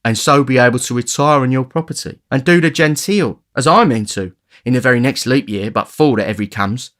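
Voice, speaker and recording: A man voicing a jolly, jokey boy pickpocket who speaks loudly in street slang. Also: mid-range voice, fairly quick, pitched above the performer's own voice, close to an everyday voice.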